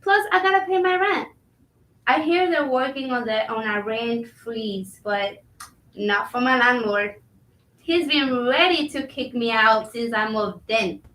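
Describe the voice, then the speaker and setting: A woman's voice: fairly high pitch, measured pace, a bit bright. A woman playing a polite, dutiful immigrant kitchen cook in a staged reading, with a Latin American Spanish accent. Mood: chatty, friendly. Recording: roomy, distant microphone.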